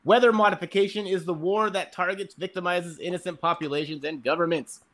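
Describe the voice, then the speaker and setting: High-pitched, sarcastic man. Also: fairly even intonation, a little fast, a bit bright. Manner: sarcastic, exasperated, sweary. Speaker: podcast guest reading fliers aloud. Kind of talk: casual chat with mock-dramatic readings.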